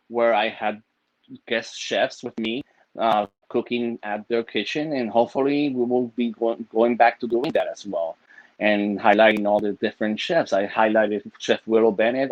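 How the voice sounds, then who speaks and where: A man's voice: fairly low pitch, dry recording, dull audio. A man raised in Puerto Rico, cooking in his home kitchen during a live video-call stream, chatting with many fillers and laughing often. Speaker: cooking show guest chef.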